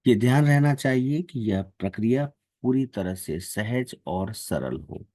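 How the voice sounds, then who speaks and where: A man leading a guided meditation and devotional prayers in a calm, measured, devotional manner. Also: mid-range voice, no room sound, clean studio sound.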